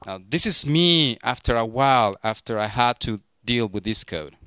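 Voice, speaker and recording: A man in his thirties from Mexico with a Mexican Spanish accent, giving a lively, joking technical talk to a conference audience through a handheld microphone. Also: medium pitch, normal speed, clear, resonant tone, no room sound, studio quality. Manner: lively, joking, frequent restarts. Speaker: conference speaker.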